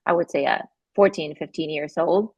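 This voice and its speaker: A woman from Argentina, with an Argentinian accent and Spanish as her first language, talking in a casual interview, halting often with fillers and restarts. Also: low-pitched, creaky, a little mellow, husky, no echo. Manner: halting, with many fillers and restarts, laughs between phrases. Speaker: guest, company founder.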